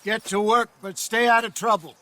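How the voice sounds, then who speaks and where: An actor playing a weary, wry older outlaw in a video game, with an American accent and a folksy, plainspoken manner. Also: male voice, sits high, fairly even intonation, moderate pace, bright, forceful tone, no echo. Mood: worried. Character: weary, wry old male outlaw, worried elder. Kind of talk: naturalistic video game acting.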